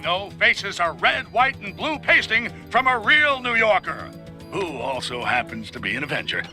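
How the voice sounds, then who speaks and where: A sarcastic news reporter. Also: male voice, sits high, bright, forceful tone, full character voice. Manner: sensational, sarcastic. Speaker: game news announcer.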